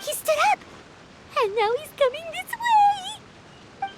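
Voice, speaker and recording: A woman voicing a small, excitable, flustered companion creature in a video game. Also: extremely high pitch, slow pace, background noise, exaggerated voice. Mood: alarmed. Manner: flustered, exclamatory. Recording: music behind the voice.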